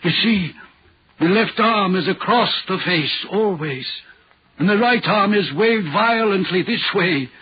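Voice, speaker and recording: A man's voice: sits high, expressive, unhurried, husky, full character voice. An actor playing an anxious, troubled railway signalman in an earnest, dramatic 1940s radio horror style. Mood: haunted, agitated. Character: haunted, anxious railway signalman telling of a spectre. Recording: dull, muffled 1940s radio recording.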